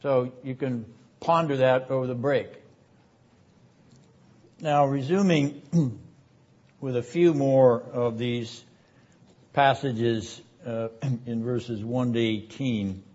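A man lecturing on the Bible in a classroom, explaining didactically with rhetorical questions and frequent throat clearing. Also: medium pitch, slow, long pauses, darkish tone. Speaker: seminary lecturer. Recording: slightly dull classroom recording.

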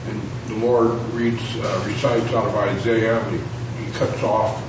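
A student speaks slowly from far back in a classroom, halting and hunting for words. His voice sits low and is picked up by a distant mic. The sound is muffled and very echoey, with clear room noise behind it. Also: level tone. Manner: halting, searching for words with many fillers. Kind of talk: asking a question in class. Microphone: room mic far from him.

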